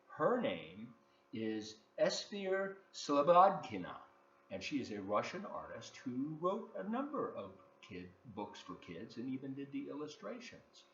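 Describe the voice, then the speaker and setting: A man reading a children's story aloud from his living room, expressive and talking directly to the kids with asides. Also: mid-range voice, a little slow. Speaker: volunteer children's story reader. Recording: dull, roomy home recording with faint noise.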